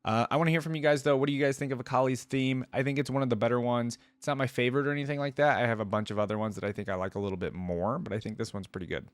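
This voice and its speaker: A man's voice: medium pitch, fairly even intonation, rapid-fire, hardly any pauses, no room sound. A man hosting a reaction video, chatting casually and enthusiastically in slangy fan talk.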